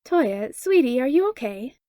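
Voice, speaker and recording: A woman voicing a protective adult mother who speaks gently and soothingly to a child. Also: high-pitched, big pitch swings, a little slow, no echo, studio quality. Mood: gentle.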